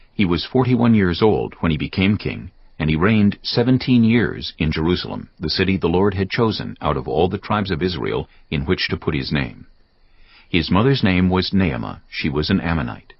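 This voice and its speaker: A man's voice: low-pitched, slightly rough. A man narrating a dramatised audio Bible as a scripted, solemn story. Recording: dull band-limited audio with faint music.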